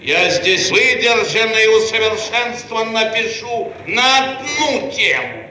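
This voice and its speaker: An actor playing a pompous, self-satisfied Soviet bureaucrat boss in an old radio play, declaiming as if dictating. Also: male voice, high voice, very animated, a little slow, pushed and bright, heavily acted. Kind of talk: archival Soviet radio theatre acting. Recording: echoey archival radio recording, faint noise.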